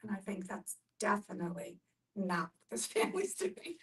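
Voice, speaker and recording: A woman talks in a reflective group discussion from a little way off the microphone. Her voice sits at a medium pitch, and she explains things earnestly, leaning on repetition to stress her points. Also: big pitch swings, normal speed, recorded from a distance.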